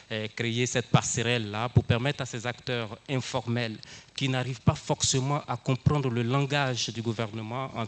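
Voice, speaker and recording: Flat-voiced French-speaking man on a panel. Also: medium pitch. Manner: earnest, hesitant, with many fillers and restarts. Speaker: panelist working on electronic waste. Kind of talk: panel talk.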